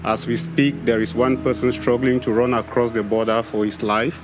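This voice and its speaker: A man's voice: mid-range voice, flat delivery, normal speed. Earnest Cameroonian man over background music. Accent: Cameroonian English. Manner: earnest, emphatic, repeats phrases for force. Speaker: founder of an advocacy organization. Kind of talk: interview testimony. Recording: music playing behind the voice.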